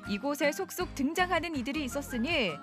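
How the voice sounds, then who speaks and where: A woman narrating a TV feature in a bright, cheerful scripted way. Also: high voice, animated, fairly quick. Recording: music behind narration.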